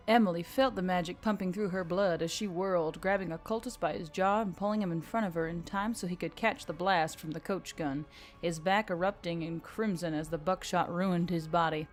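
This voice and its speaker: A woman narrates an action fantasy story as a scripted audiobook. Her voice sits on the low side, and music plays behind her.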